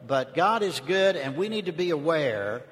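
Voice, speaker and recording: A man's voice: on the high side, sing-song, moderate pace. An older man from North Georgia with a Southern US accent, speaking to a congregation as a visiting preacher in a folksy, conversational way. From North Georgia, United States. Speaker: visiting preacher, seminary teacher. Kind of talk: sermon, informal opening remarks to a congregation. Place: large indoor church space. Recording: reverberant church hall.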